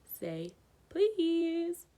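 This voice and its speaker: A woman doing a high sing-song taunting poltergeist. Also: huge pitch swings, very slow, mellow, heavily acted. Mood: sing-song gloating.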